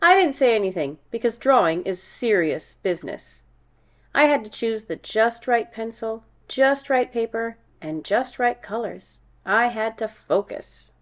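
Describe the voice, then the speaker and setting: A woman reading a children's picture book aloud in a deliberate, animated storytelling style. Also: a little high. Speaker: read-aloud storyteller, music teacher.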